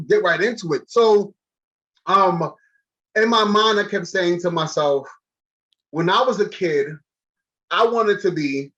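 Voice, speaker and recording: High-voiced American man hosting a chat. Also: a bit bright. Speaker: talk show host, interviewer. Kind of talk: casual chat interview. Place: small room indoors, on a video call. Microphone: video call. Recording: slightly dull video-call audio.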